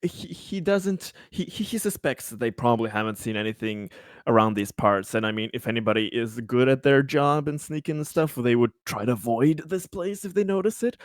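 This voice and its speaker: A man's voice: medium pitch, lively intonation, a little fast, no room sound, clean studio sound. A man playing a tabletop game, casually explaining what his character thinks and does.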